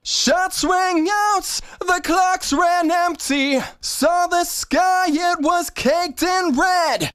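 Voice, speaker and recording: A man's voice: very high-pitched, drawn-out words, hardly any pauses, a bit bright, no room sound, clean studio sound. A man singing a rock lead vocal, enunciating sharply with pronounced sibilant S sounds. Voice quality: strong sibilance, crisply enunciated. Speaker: rock singer.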